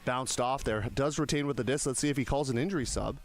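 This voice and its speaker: A man's voice: medium pitch, fast. Man in his thirties calling a game. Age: 30s. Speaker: sports commentator. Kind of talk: live play-by-play commentary with casual banter.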